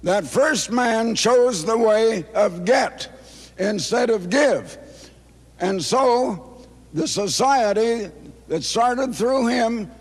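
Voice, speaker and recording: A hoarse man in his eighties giving a formal public address, slow and deliberate, repeating phrases for emphasis. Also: very high-pitched, expressive. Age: 80s. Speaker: speaker addressing an audience. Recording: long reverb of a large hall.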